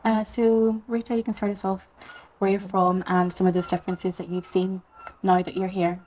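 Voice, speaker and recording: A woman from Zimbabwe hosts a relaxed podcast conversation. She leads it by asking the guests questions, in a voice of middling pitch. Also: normal speed, a little hiss or hum, poor audio. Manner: guiding, asks questions. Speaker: podcast host. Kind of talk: casual chat.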